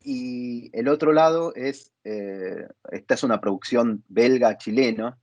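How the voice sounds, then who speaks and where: A man speaking as an interviewer in a conversational panel, phrasing his thoughts in a halting, reflective way. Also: medium pitch, darkish tone, no room sound. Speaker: interviewer and theatre dramaturg. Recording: dull lo-fi sound.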